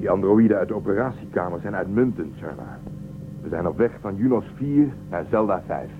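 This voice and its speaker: Casting: male actor as composed space commander, lowish voice, thin phone-like radio drama sound. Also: animated, brisk. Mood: formal, satisfied. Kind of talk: Dutch radio drama acting. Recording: phone-like narrow band, faint noise.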